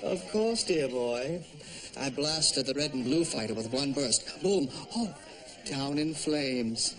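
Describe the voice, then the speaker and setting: An actor playing a flamboyant wartime pilot commander, theatrical and boastful, in a sitcom. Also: male voice, mid-range voice, expressive, slow, a bit bright, rough recording.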